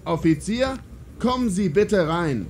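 Guy acting calculating police boss in a radio play, smooth-talking diplomat, bit of background noise. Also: sits high, lively intonation, measured pace, darkish tone. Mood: diplomatic. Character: calculating male police commander, diplomatic, self-protecting. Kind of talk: German audio drama acting.

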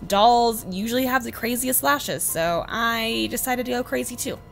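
A woman in her twenties with a slightly hoarse voice, giving a casual, chatty makeup tutorial voice-over. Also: on the high side, moderate pace. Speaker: beauty vlogger, host. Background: background music.

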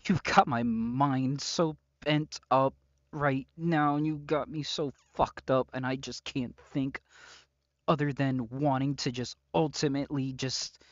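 A man playing an obsessive, unstable captor and lover in an intimate audio roleplay, halting and brooding, swinging between pleading and threat. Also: mid-range voice, fairly even intonation, dry recording, highs cut off, natural voice. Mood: flustered, unhinged. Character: obsessive, unstable male yandere captor, menacingly tender lover.

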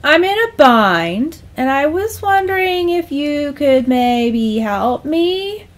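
A woman's voice: high-pitched, very animated, slow, pitched above the performer's own voice, exaggerated voice. A woman voicing a nervous, pleading young man in a storybook tale, with a whiny manner. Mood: forced calm. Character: panicked, pleading young man trapped inside sea lion. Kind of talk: acted storytelling dialogue.

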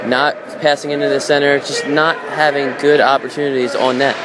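A man's voice: medium pitch, flat delivery, normal speed. A man giving color analysis on a hockey radio broadcast, restarting phrases as he explains the play. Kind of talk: sports commentary. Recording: noisy background.

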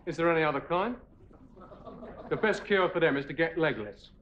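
A man in his thirties playing a cheeky, laddish husband who teases his friend with deadpan quips. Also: a little high, moderate pace. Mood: teasing. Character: cheeky laddish young husband, dry joker. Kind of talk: 1980s sitcom acting. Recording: dull lo-fi TV audio with hiss.

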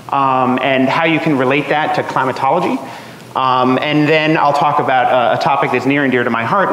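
A man in his thirties giving a relaxed academic seminar talk in a lecture room, with lots of ums and uhs. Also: mid-range voice. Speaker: guest seminar speaker. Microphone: room microphone. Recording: echoey hall, faint noise.

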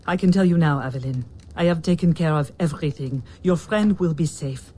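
Stern, mellow-voiced colonial gentlewoman. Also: low voice, brisk. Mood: dryly knowing. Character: stern, shrewd colonial gentlewoman, a protective stepmother. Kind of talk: video game cutscene acting. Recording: faint music behind the voice.

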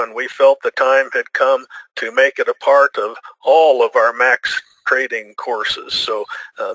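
A man hosting a live webinar, giving a smooth, upbeat, scripted introduction. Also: medium pitch, pushed and bright, no room sound, poor audio. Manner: upbeat, smooth salesy delivery. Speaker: webinar host.

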